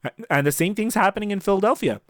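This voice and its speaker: A man's voice: high-pitched, animated, fast talker, fairly bright tone, no room sound. A man co-hosting a casual sports podcast, chatting on a close mic over a video call.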